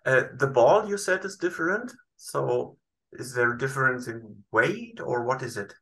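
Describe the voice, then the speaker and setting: A man hosting a podcast interview, asking casual questions in a halting way as he searches for words. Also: medium pitch, lively intonation, a bit bright.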